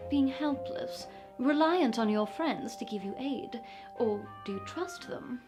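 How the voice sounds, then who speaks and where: A woman playing a mockingly polite smuggler boss villainess who taunts a captive with deliberate, condescending menace in a fantasy roleplay. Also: fairly high pitch, animated, music in the background. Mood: taunting. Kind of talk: point-of-view fantasy roleplay.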